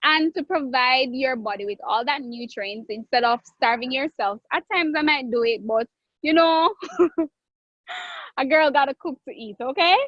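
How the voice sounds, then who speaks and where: A Jamaican woman chef answering viewer questions in a live online cooking class, chatty with drawn-out phrases and restarts. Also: sits high, sing-song, no room sound, muffled recording. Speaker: guest chef.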